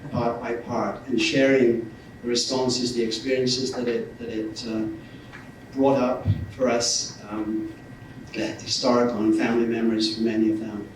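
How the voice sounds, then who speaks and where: A man's voice: medium pitch, little pitch movement, moderate pace. Older English man in a very echoey space. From north of England. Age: 60s or older. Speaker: retired university lecturer, guest speaker. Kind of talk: informal public speech. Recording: very echoey, distant mic, faint noise.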